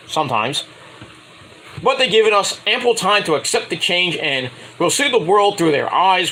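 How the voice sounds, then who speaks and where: A man's voice: high-pitched, pushed and bright, slight background noise, rough recording. A man casually reviewing a wrestling show, rambling and correcting himself, with sarcastic asides while he fights off a cold. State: getting a cold, voice going. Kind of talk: casual solo review recap.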